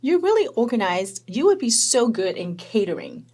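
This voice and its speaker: A woman who grew up in Hong Kong, a guest telling her story in a conversational interview with lively, animated storytelling. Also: fairly high pitch, moderate pace, a little hiss or hum.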